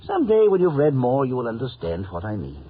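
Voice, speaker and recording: Pedantic, flustered gentleman, hugely animated pitch, kindly pomposity, muffled old radio play. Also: brisk. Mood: baffled dismissal. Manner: hemming, pedantic, throat-clearing. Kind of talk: 1950s radio drama acting. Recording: dull, noisy vintage radio recording.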